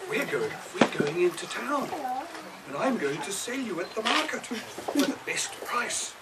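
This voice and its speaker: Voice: medium pitch, very animated, unhurried. A performer voicing a naive, earnest five-year-old boy in a children's puppet show. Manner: earnest, hesitant, simple. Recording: outdoor far camera, noisy background.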